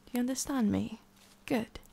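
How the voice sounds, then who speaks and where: A woman playing a lonely, guarded immortal witch in an intimate ASMR roleplay, speaking softly and often dropping to a whisper. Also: on the high side, normal speed, creaky, breathy edge, full character voice. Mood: stern warning.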